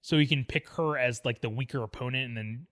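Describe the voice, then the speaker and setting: Guy chatting about movies on a podcast, bright forceful voice, mid pitch. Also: brisk. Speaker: film podcast co-host. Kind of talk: casual film discussion.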